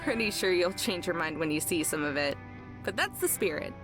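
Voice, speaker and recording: A woman's voice: fairly low pitch, animated, brisk, natural voice. Woman acting a breezy young woman, music behind. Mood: upbeat, teasing. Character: casual, upbeat young woman road-tripper, teasing but kind. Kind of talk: visual novel voice acting.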